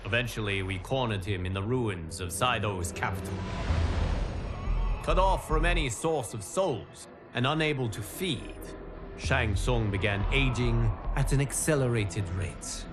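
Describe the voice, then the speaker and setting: A man voicing a solemn, wise guardian god, narrating a fighting game story in grave, formal, measured diction. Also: medium pitch, music in the background, natural voice.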